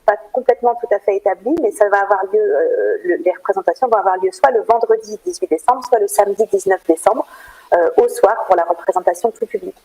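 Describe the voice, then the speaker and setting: A woman answering radio interview questions in an explanatory way, often pausing as she searches for words. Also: mid-range voice, warm tone, poor audio. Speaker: theatre programme manager, interview guest.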